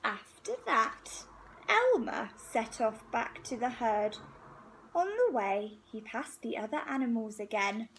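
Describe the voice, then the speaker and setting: A woman reading a picture book aloud to young children in an expressive, unhurried way, pausing between phrases. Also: on the high side, a little hiss or hum, poor audio.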